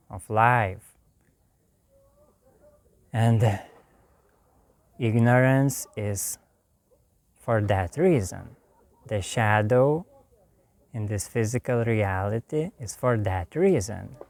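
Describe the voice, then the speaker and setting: Slow, calm Lithuanian-accented man. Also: on the low side, dry recording. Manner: slow, soft and calm, with long pauses. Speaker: spiritual teacher. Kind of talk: meditative spiritual talk.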